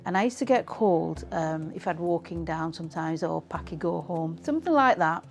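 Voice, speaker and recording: A woman's voice: on the low side, expressive, brisk, gravelly. A woman, reminiscing in a conversational, reflective way as she is interviewed. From England.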